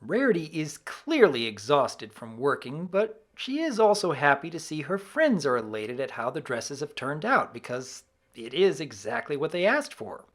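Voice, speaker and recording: A man's voice: a little high, very animated, normal speed, hardly any pauses, fairly bright tone. A man giving semi-scripted review commentary as the host of a video.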